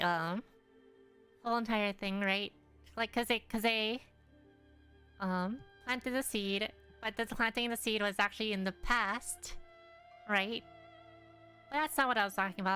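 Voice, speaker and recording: A woman's voice: medium pitch, moderate pace, sharp tone, clear, resonant tone, faint music behind. A woman doing casual game commentary, thinking aloud in a hesitant, rambling way. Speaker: game streamer.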